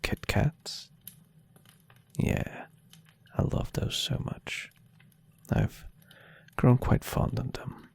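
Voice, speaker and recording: A man voicing a tender, adoring and playfully teasing centuries-old vampire boyfriend in an intimate ASMR roleplay, speaking in a soft, husky half-whisper. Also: mid-range voice, exaggerated voice. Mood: relaxed, affectionate. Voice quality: breathy, husky half-whisper with gravelly creak. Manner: soft, soothing, affectionate murmuring.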